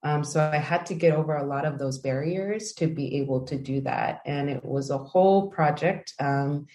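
A woman in her thirties from the United States, giving measured, thoughtful answers as an interview guest with frequent ums and uhs. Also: sits low, dark tone. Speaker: interview guest, language project founder. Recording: echoey room.

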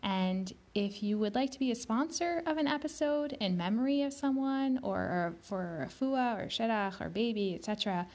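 A woman hosting her own podcast, speaking slowly and deliberately, pronouncing each word carefully, sometimes dropping to a soft whisper. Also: on the high side, lively intonation. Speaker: podcast host. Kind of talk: slow, careful spoken podcast introduction.

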